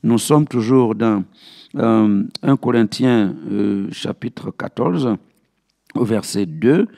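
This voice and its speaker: Male pastor for Bible study teaching; creaky, relaxed voice, didactic and repetitive for emphasis. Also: fairly low pitch, slow. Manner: didactic, repeats key phrases for emphasis. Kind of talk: Bible teaching with verse citations.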